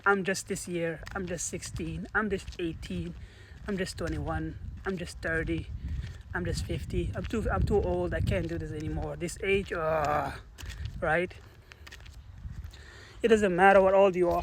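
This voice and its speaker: A man's voice: sits high, a bit bright, slight background noise, poor audio. A man giving a casual motivational talk outdoors in a park, rambling and often restarting his sentences. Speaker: motivational speaker.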